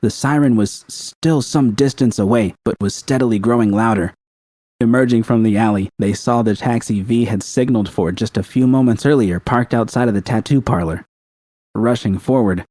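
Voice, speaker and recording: A man's voice: on the low side, moderate pace, mellow, no echo. A man reading scripted fiction as an audiobook narrator.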